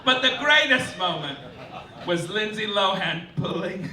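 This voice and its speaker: Campy guy doing standup, pitch all over the place, theatrical, sounds far from the mic. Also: very animated, unhurried. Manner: campy, theatrical, swooping emphasis. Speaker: stand-up comedian hosting a live show. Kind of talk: satirical stand-up monologue, mock-formal announcements. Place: indoor cabaret club stage. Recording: roomy live stage, distant, clearly noisy.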